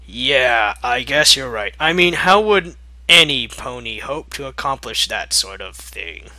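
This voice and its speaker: Guy doing brash young cartoon pony girl, excitable, super bright and sharp, kinda slow delivery. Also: mid-range voice, no echo. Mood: disappointed. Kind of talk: fan fiction character reading.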